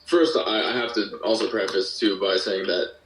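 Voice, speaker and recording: A man's voice: fairly low pitch, moderate pace, a little hiss or hum. A young American man chatting casually as an interview guest, explaining things in a rambling, self-interrupting way. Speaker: podcast guest, musician and audio drama creator. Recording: roomy echo, distant from the mic.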